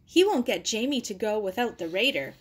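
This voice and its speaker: A woman's voice: mid-range voice, sing-song, a little fast, husky. A woman voicing a plucky, reassuring young girl in a storybook reading. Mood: confident. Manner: confident, reassuring.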